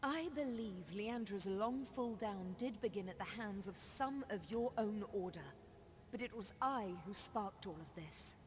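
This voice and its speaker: A woman's voice: medium pitch, a little mellow, close to an everyday voice. Solemn witch played by a woman in long reverb. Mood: bitter, reproachful. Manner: measured, solemn, formal storytelling. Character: sorrowful witch woman, a proud, confessional forest sorceress. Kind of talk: dramatic fantasy video game monologue. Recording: long reverb on game dialogue.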